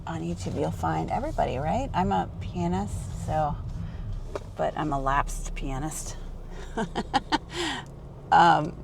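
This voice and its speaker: Gravelly woman chatting over road noise. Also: deep voice, slow pace, pauses often. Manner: rambling, self-correcting, enthusiastic. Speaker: vlogger. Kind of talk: casual chatty vlog. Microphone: small handheld camera mic. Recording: noisy car and street ambience.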